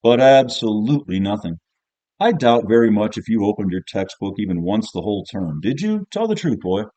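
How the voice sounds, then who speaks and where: Man acting old schoolmaster, sarcastic, insistent, drawn-out phrasing, mellow low-pitched voice, audiobook character. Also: very animated, highs cut off. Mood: scolding. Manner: sarcastic, repetitive, slow drawn-out emphasis. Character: sarcastic old male history teacher, scolding and insistent.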